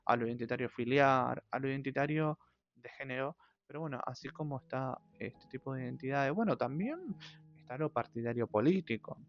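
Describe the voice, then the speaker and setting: A man with an Argentine accent, hosting his channel in a thoughtful, hesitant personal monologue, pausing often on long fillers. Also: medium pitch, gravelly. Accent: Argentine Spanish. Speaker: channel host. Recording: dull lo-fi audio with faint music.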